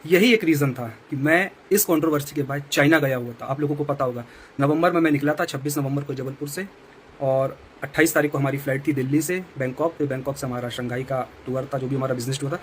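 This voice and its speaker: A man's voice: medium pitch, fast talker, a little hiss or hum. A male vlogger earnestly explaining himself to his viewers. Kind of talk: talking to camera.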